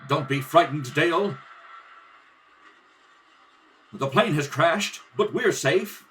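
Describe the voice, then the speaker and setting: A man playing a brave, steady athlete hero in an old-time radio adventure, earnest and reassuring. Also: fairly high pitch, measured pace, soft background music.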